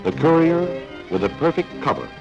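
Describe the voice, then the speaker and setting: A man narrating a 1940s training film in a dramatic, clipped style with deliberate pauses. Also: on the high side, huge pitch swings, creaky, highs cut off. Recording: 1940s film soundtrack with faint music.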